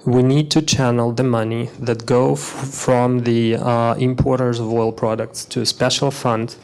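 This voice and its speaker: A man's voice: mid-range voice, flat delivery, unhurried, vocal fry, a little mellow. A man in his thirties giving businesslike panel answers into a handheld mic in a conference hall, with many fillers and restarts. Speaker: government minister.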